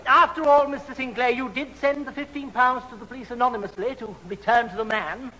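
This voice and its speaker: A prim, kindly curate with a very high, fluting male voice. He is hesitant, fussy and easily flustered, in an old radio sitcom recorded in a reverberant hall with some noise. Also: steady tone, fairly quick. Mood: reassuring. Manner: fussy, hesitant, gently scolding.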